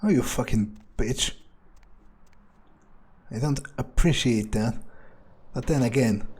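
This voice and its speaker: Husky, mellow-voiced cruel vampire played by a man. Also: mid-range voice. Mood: contemptuous. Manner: muttering to himself, swearing, deliberate musing. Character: cold, cruel, foul-mouthed male vampire, entitled and predatory. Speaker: gamer playing in character. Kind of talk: in-character roleplay game commentary.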